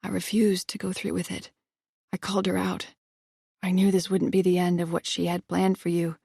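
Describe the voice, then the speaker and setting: A woman reading a story aloud, voicing a tense, evasive young man in a hushed, halting way. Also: fairly low pitch, completely flat delivery, creaky, husky, dry recording, studio quality. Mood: shaken.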